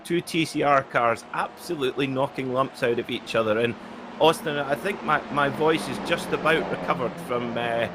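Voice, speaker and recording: A man's voice: medium pitch, normal speed. A man co-commentating on a sim race in a chatty, polite way with laughing asides. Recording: noisy, slightly distant line.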